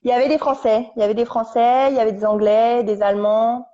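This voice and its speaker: A young woman, a podcast guest, casually telling her story at home on a couch, halting and restarting as she searches for her words. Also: a little high, little pitch movement, mellow. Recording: dull lo-fi recording.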